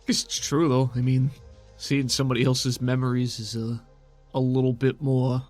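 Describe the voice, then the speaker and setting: A man's voice: medium pitch, little pitch movement, moderate pace, a little mellow. A man with a mid-range voice acts as game master in a role-playing show. He improvises dialogue and narration in casual, down-home phrasing. Music plays faintly behind him. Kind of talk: improvised in-character roleplay with narration.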